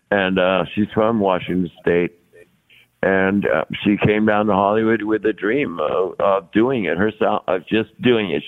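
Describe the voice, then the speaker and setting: A man in his sixties, a podcast guest talking casually and at length, often repeating words and laughing between thoughts. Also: sits low. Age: 60s. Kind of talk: casual interview conversation. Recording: tinny phone-like line.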